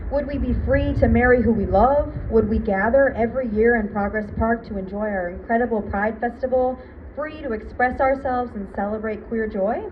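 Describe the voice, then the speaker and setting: A woman hosting a public ceremony, giving an earnest, rousing prepared speech in measured phrases. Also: on the high side. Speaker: event MC. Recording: muffled event recording with background noise.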